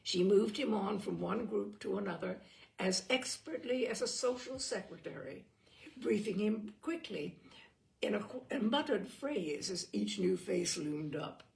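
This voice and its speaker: A woman narrates a novel in a voice of middling pitch with noticeable creak. Her reading is scripted and expressive, in the manner of an audiobook storyteller, with a small stumble here and there. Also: a little slow, rough recording. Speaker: audiobook reader.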